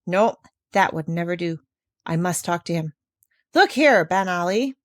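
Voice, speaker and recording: A woman voicing a gentle, mild-mannered male doctor who speaks politely in a storybook reading. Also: on the low side, expressive, unhurried, no room sound, clean studio sound.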